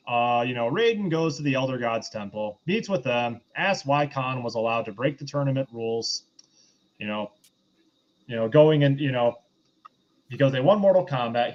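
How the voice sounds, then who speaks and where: A man with an average-pitched voice talks as a podcast host recapping a movie. His delivery is casual and halting, wandering along conversationally. The recording is a little dull and lo-fi. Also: animated. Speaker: podcast host reviewing a film.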